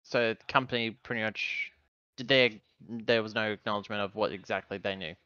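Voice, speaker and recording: A man's voice: on the low side, fairly even intonation, fairly quick, sharp tone, smooth, dry recording, highs cut off. A man playing a tabletop roleplaying game, casually thinking aloud as he describes what his character does.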